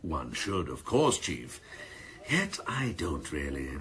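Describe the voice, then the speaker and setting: Sardonic, very deep-voiced police chief detective. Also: male voice, wildly swooping pitch, slow, a bit bright, exaggerated voice. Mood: dry, sly. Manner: mock-courteous, dry, sneering. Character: sardonic, cynical, scheming senior police detective. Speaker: actor in radio drama. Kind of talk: 1990s radio drama acting.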